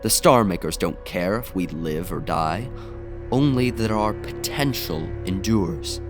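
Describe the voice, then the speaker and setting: An actor playing a brooding, aloof messiah in an audio drama, speaking gravely and deliberately. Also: male voice, sits low, animated, music in the background. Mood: ominous. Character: brooding male messiah, aloof puppet of cosmic powers.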